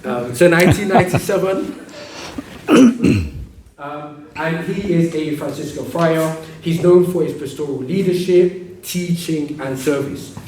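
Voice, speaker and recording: A man introducing a priest to an audience, hesitant with frequent pauses. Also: a little high, darkish tone, a little hiss or hum. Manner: hesitant, with many ums. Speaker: event host introducing the speaker. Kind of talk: introduction of a guest speaker. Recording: echoey hall from far off.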